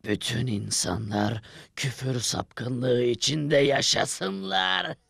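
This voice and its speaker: A scheming, gloating cartoon demon minion. The voice is gravelly and drags extremely slowly, with sinister relish. The sound is dry and close. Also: male voice, medium pitch, fairly bright tone, no echo, heavily acted.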